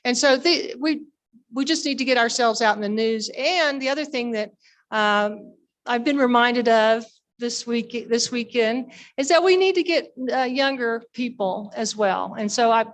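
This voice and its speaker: Female society director, somewhat high voice, enthusiastic and colloquial, informally presenting to members. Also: a little slow. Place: live members' meeting.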